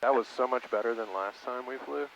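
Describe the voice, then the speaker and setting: A man's voice: medium pitch, a little fast, creaky, dull audio. A man learning to fly, casually calling out maneuvers and replies over a headset in a small airplane cockpit. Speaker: student pilot. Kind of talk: casual flight lesson talk, calling out maneuvers. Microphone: aviation headset intercom. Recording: cockpit headset audio over engine noise.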